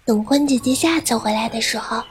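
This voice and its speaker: A woman's voice: on the high side, a little fast, lightly acted. A woman playing an innocent, playful young carp-spirit maiden in an audio drama, with an inquisitive manner. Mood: playful, curious. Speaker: voice actress.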